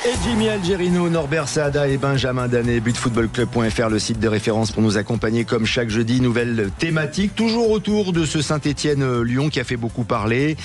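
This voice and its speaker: A man hosting a sports radio show, lively and teasing as he fires quick questions. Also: mid-range voice, soft background music. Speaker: radio host.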